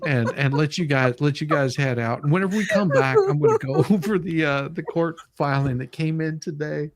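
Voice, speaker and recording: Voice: medium pitch, sing-song, fairly quick. A show host who reads out a deposition transcript dramatically, mixing it with casual banter and easily breaking into giggles. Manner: giggly, easily cracks up between read lines.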